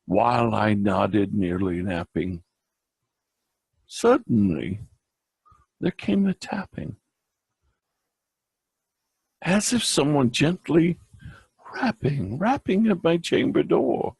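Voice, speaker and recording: A man giving a slow, theatrical poetry recitation in a gravelly, husky voice, with deliberate pauses. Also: medium pitch, expressive, a little mellow, no room sound. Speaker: reader and presenter.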